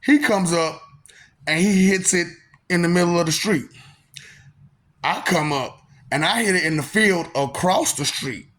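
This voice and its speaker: Soft-voiced guy in his 30s, laid back and rambly, laughs a lot, kinda high voice. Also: a little slow, pauses often. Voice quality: soft polite-sounding voice. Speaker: vlogger telling a personal story. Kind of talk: casual storytelling monologue.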